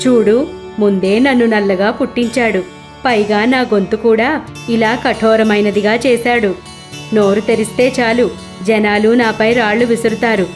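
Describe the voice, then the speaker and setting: A woman voicing a discontented crow in an animated fable, complaining and pleading. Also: a little high, moderate pace, faint music behind, natural voice. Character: discontented crow longing to be another bird.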